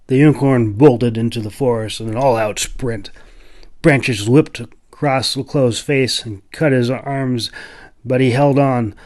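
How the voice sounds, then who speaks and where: A man's voice: mid-range voice, darkish tone. A man reading scripted narration for a fantasy adventure audiobook. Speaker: author narrating his own audiobook.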